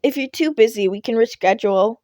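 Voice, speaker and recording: A woman's voice: fairly high pitch, brisk, darkish tone, no echo, lightly acted. Reserved teen schoolboy, female reader: puzzled, tentative questions, audio storytelling, dry intimate sound. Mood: hesitant. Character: reserved, puzzled teenage boy student. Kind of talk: fan fiction audio reading.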